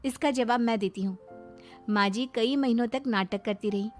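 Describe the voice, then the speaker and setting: A woman's voice: fairly high pitch, normal speed, darkish tone, natural voice. A woman voicing a caring, reasonable young daughter-in-law in a cartoon moral story, polite and explanatory. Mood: explaining. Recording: music behind the voice.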